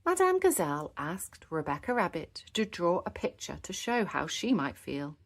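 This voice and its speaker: A woman storyteller reads a picture book to children. Her voice sits slightly low and moves with very lively intonation and expressive emphasis. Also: moderate pace. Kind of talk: animated children's storybook narration.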